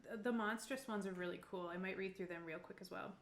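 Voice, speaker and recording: A woman with a mid-range voice talks casually with a group about a tabletop game, sometimes reading options out loud. The room around her gives a noticeable echo. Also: medium pitch, fairly even intonation, quick pace, creaky. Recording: roomy, echoing room sound.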